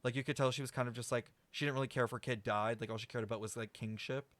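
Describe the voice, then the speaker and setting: A man's voice: mid-range voice, steady tone, very fast, fairly bright tone, dry recording. A man giving casual game stream commentary, rambling as he thinks aloud and swears freely.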